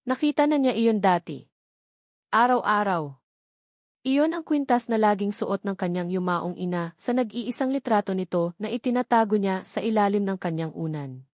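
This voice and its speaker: A woman narrating a scripted audio drama in a steady, measured storytelling voice. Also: mid-range voice, no echo, clean studio sound.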